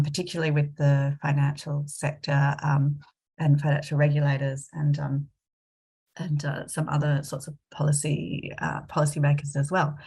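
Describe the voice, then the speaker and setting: Woman researcher with a cold, low monotone voice, hesitant delivery. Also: husky. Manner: hesitant, many fillers. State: coming down with a cold, sniffly. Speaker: independent consultant and researcher. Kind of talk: online panel talk.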